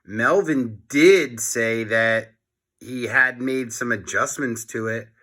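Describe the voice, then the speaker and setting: A man voicing a cautious, worried schoolboy sidekick in a read-aloud storybook, hesitant and expressive. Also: mid-range voice, slow pace. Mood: wondering.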